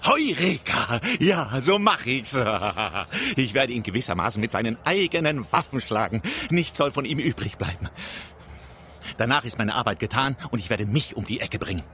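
An actor playing a pompous, boastful brewery owner and vengeful betrayed husband in a theatrical radio play. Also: male voice, mid-range voice, sing-song, moderate pace, bright, forceful tone, slight background noise. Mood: gloating, scheming. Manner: theatrical, self-important, gloating. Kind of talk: theatrical radio drama monologue.

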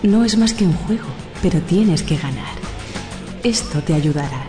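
An actress playing a seductive inner voice of temptation, soothing, persuasive and unhurried, in a surreal radio drama. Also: female voice, lowish voice, lively intonation, over music, close to an everyday voice. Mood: coaxing.

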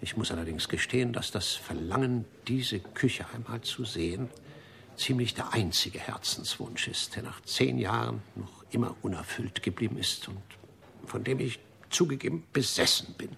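Pompous low-voiced gourmet gentleman. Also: moderate pace, vocal fry. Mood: solemn, admonishing. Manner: pompous, lecturing, formal, rhapsodic about food. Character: pompous, fastidious gentleman gourmet, obsessively devoted to one restaurant. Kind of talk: German radio drama acting.

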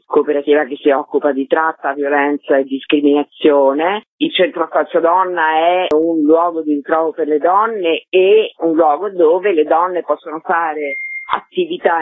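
A woman's voice: deep voice, no echo. A woman speaks as an articulate interview guest, explaining at length. She comes over a narrow phone line with a dry sound. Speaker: interview guest, theatre director. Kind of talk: radio interview, explaining a project.

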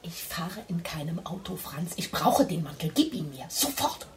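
A wounded, jealous young woman in a radio play. Her voice sits fairly low, and she speaks in curt, demanding phrases. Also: very animated, normal speed. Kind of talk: radio drama acting.

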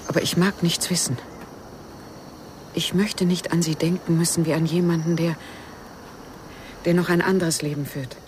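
An actress playing a guarded, wistful widow who speaks evasively and intimately in a German radio crime drama. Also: female voice, on the low side, fairly even intonation, moderate pace, pauses often, a little mellow, slight background noise, close to an everyday voice.

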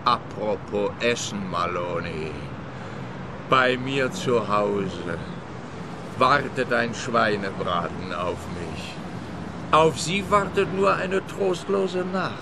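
A pompous, slow-witted policeman in a radio play. He holds forth officiously and complacently in a mid-pitched voice, with clear outdoor traffic noise behind. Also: expressive. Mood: officious, smug.

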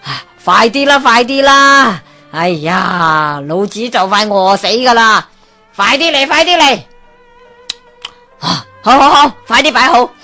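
A woman's voice: on the high side, lively intonation, measured pace, pushed and bright, soft background music, full character voice. A woman voicing a greedy, eccentric old man in a radio storybook, with a Hong Kong Cantonese accent. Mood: greedy eager. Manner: rambling, greedy, then smug and satisfied.

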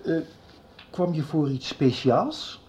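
A man's voice: fairly high pitch, big pitch swings, slow, a little mellow, slight background noise. A man acting a busy, evasive middle-aged father in a Dutch radio soap. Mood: distracted, placating.

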